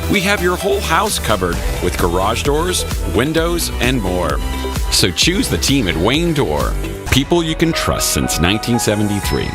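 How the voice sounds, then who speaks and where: An American man reading a scripted promotional radio advertisement as an announcer. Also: on the low side. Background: loud music.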